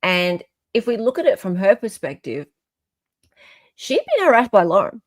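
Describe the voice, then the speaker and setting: A woman's voice: mid-range voice, very animated, moderate pace, no room sound. An Australian woman hosting a live stream in casual, opinionated chat, often checking for agreement as she goes.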